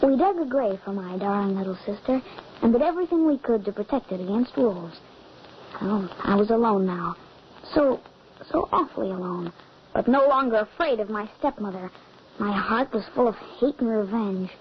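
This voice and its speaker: A woman's voice: medium pitch, lively intonation, normal speed, natural voice. A woman voicing a frightened young boy, the son, in an old radio drama, telling the story urgently. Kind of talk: 1940s radio acting. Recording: dull old radio recording, faint hiss.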